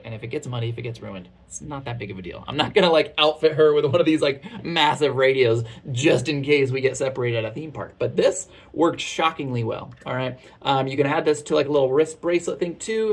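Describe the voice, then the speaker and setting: A man in his thirties giving a casual product review in a chatty, informal and candid way. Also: medium pitch.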